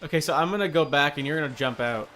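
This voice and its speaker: A man's voice: fairly high pitch, quick pace, bright, forceful tone, soft background music. A man doing casual live video game commentary, talking wryly to himself and to the game's enemies. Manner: talks to self and game enemies, wry.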